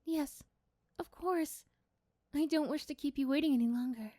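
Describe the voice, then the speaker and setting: A woman's voice: sits high, moderate pace, dark tone, no echo, clean studio sound, voice pushed up, lightly acted. A woman voicing a shy, kind, easily flustered young female class president in a romantic roleplay, with a hesitant, polite manner. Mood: grateful, shy.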